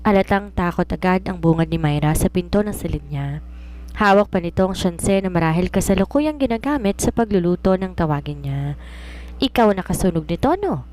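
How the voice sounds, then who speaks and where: Female storyteller for scripted romance fiction, slightly low pitch. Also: brisk, a little mellow. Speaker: story narrator.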